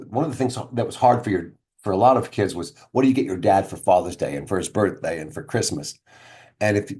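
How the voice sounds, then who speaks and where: A man's voice: lowish voice, darkish tone. A middle-aged man tells his own story casually and directly to the viewer as a speaker would, conversational and leaning on key words. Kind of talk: casual first-person storytelling to camera.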